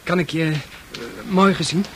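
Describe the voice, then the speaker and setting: An actor in a Dutch radio play playing a shy, concerned young man who speaks haltingly and awkwardly. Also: sits high, fairly even intonation, slight background noise. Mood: eager, awkward. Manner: hesitant, halting, soft-hearted.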